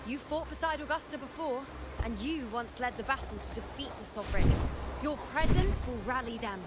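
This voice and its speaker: A woman's voice: sits high, a little fast, heavy background noise, natural voice. An actress playing a confident, playful and brash young warrior woman in a video game. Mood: determined. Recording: loud music behind.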